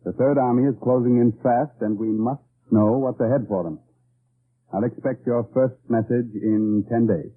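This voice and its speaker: An actor playing a sober American army colonel with a grave, measured, authoritative delivery in a 1950s radio drama. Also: male voice, mid-range voice, lightly acted. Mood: grave, commanding. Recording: tinny vintage radio broadcast recording.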